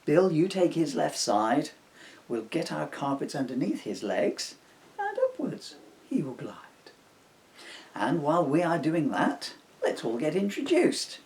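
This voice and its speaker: Casting: male reader as kind storybook little girl, encouraging and capable, melodic swings, measured pace. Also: a little high, big pitch swings, a little mellow. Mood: eager, capable. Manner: caring, encouraging.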